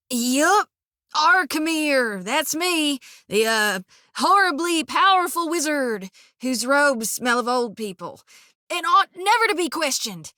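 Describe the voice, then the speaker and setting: An actress voicing a young woman who clumsily puts on the voice of a pompous, self-important wizard, raising her pitch and stumbling over her words. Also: sing-song, takes it slowly, bright, forceful tone, no room sound, studio quality, exaggerated voice. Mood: blustering. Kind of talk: video game voice acting.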